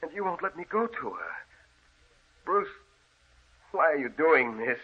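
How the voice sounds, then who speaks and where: A man's voice: a little high, sing-song, a little slow, husky, exaggerated voice. An actor playing a desperate, agitated man pleading to be let out, in vintage radio horror drama style. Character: frantic younger brother, locked in, desperate for Lenore. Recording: old radio recording, tinny and noisy.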